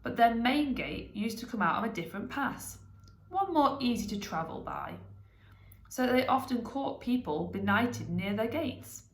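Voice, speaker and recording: A woman narrating a storybook aloud in an expressive reading style. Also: fairly high pitch, measured pace, echoey room.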